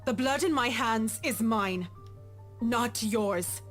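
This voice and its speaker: A woman's voice: fairly high pitch, takes it slowly, a bit bright. A woman playing a guilt-ridden young businesswoman in a visual novel game, speaking with earnest, dramatic emotion. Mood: remorseful. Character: guilt-ridden young businesswoman confronting her dead first love. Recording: music behind the voice.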